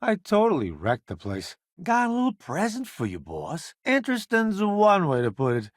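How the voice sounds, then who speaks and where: A man's voice: sits high, wildly swooping pitch, dry recording, clean studio sound. A man playing a vain, flamboyant food critic turned ship's cook in a video game, with a wry, theatrical delivery. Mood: self-assured, wry. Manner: theatrical, wry, self-promoting, drawn-out emphatic delivery. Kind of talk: video game companion voice acting.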